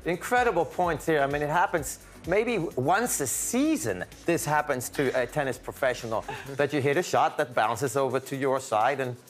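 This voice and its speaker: A middle-aged man talks tennis in a TV studio. His voice is slightly high, and his delivery is relaxed and fluent. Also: moderate pace, few pauses, soft background music. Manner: relaxed, fluent, reads out statistics. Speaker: studio pundit. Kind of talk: sports analysis.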